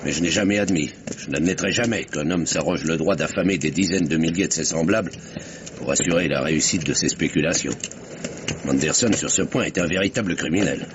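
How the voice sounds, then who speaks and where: An actor playing a grave, principled elderly retired banker in an old French radio mystery, speaking in a measured, sententious way. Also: male voice, very deep voice. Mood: indignant, grave. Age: older. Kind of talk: mid-century French radio mystery acting. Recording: noisy, muffled old radio drama recording.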